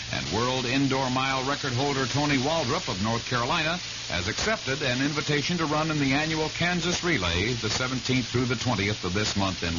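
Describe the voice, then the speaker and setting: An American man reading scripted sports and weather news on the radio. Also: mid-range voice. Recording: dull radio recording with heavy hiss.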